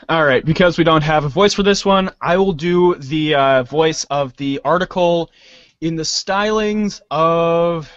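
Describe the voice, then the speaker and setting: A man's voice: fairly high pitch, measured pace, fairly bright tone, dry recording, lo-fi sound. A man chatting casually as a cast member of a comedy read-along. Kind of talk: casual chat.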